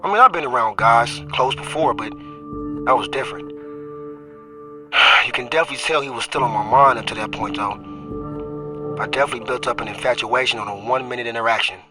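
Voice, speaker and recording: A man's voice: mid-range voice, fairly quick, long pauses, over music, lightly acted. An actor playing a young male football running back who narrates his own story, wry and stumbling when defensive. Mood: reflective. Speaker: narrator and lead. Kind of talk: audio drama with inner narration.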